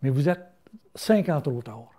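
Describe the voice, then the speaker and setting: An older man speaks with a rural Quebec French accent, folksy and halting, with plenty of fillers and restarts. His voice rides up and down with lively intonation. The tone is mellow and warm. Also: mid-range voice. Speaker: interviewee. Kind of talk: casual storytelling interview. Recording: interview filmed on camera.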